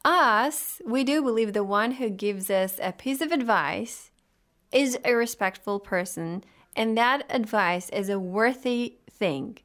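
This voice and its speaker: A woman's voice: fairly high pitch, fairly bright tone. Formal woman radio host. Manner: measured, formal, pauses to find words. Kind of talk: hosting a radio discussion.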